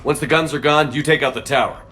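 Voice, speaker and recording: A man's voice: fairly high pitch, fast, bright, forceful tone, soft background music. An actor playing a resolute male military commander in a video game, with a terse, firm, commanding manner. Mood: urgent, commanding. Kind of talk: dramatic video game acting.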